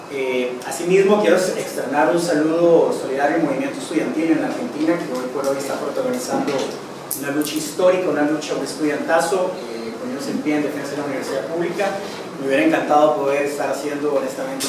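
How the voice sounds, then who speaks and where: A man from Costa Rica giving a political conference talk with a sore throat, measured and full of fillers and restarts. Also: medium pitch. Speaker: conference speaker. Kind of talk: political lecture. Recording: echoey hall, distant mic, clear noise.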